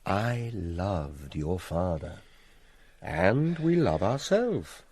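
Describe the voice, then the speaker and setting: Scheming Shakespearean king: British, persuasive, theatrical, widely swooping pitch, dry close sound. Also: big pitch swings, a little mellow, husky, dry recording, heavily acted. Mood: assured. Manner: theatrical, measured with dramatic pauses. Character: scheming king, smooth, persuasive, plotting murder. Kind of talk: classical Shakespearean stage-style acting.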